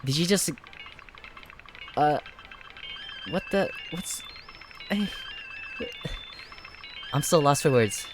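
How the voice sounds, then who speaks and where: A woman doing let's play commentary on a game, excitable and quick to exclaim. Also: low-pitched, music in the background. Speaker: gaming YouTuber.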